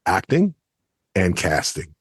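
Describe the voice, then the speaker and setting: A man co-hosting a podcast, giving thoughtful, measured opinions in a conversational style. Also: low-pitched, huge pitch swings, slightly rough, dry recording, studio quality, soft background music. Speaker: podcast co-host.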